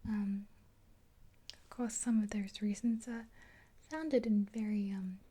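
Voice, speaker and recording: A woman in her twenties whispering a gentle ASMR roleplay in a breathy, unhurried, hesitant voice, close to the mic. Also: mid-range voice, fairly even intonation. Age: 20s. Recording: hissy close recording with clicks.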